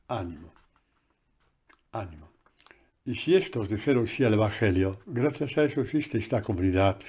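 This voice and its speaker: A man, a priest, giving a conversational catechesis sermon recorded on a phone, animated and full of asides. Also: on the low side, slightly rough.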